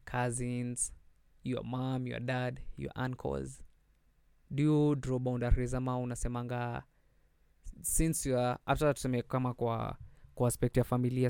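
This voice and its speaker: Young Kenyan male podcast host, laid-back code-switching chat, mellow, fairly flat delivery, dry close mic. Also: mid-range voice, gravelly, clean tone, dry recording. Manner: mixes English and Swahili slang, chatty and animated. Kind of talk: casual solo podcast chat.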